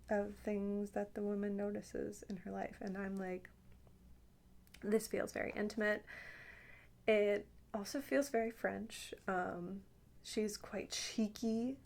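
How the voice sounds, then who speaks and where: A woman's voice: medium pitch, creaky. A woman chatting casually and intimately to the listener as an ASMR host, with playful, teasing asides.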